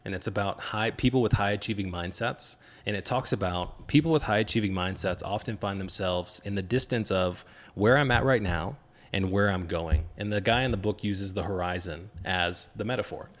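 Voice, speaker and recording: Low-voiced man hosting a reflective podcast. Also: moderate pace. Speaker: podcast host. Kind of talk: reflective long-form interview questions.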